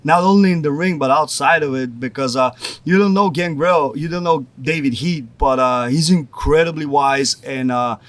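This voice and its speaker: A man's voice: on the high side, moderate pace, fairly bright tone, dry recording. A young man from Bulgaria, a pro wrestler, chatting casually in an interview and leaning on "like" a lot. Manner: rambling, says "like" often.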